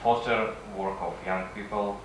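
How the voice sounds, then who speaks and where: A man with a Russian accent, a native Russian speaker, giving a halting conference presentation with frequent pauses. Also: lowish voice, fairly even intonation. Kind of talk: conference presentation, semi-improvised. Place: conference session room. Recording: echoey room from far off, faint noise.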